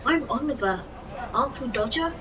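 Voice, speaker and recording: A woman's voice: sits high, lively intonation, moderate pace, recorded from a distance, crowd noise. A woman playing an earnest, wounded young orphan boy in an audio drama, plaintive and polite. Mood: timid, polite.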